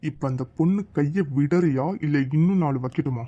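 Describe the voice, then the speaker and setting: A performer voicing a bold young village hero who speaks in slow, stern threats. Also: medium pitch, lo-fi sound, over loud music, close to an everyday voice.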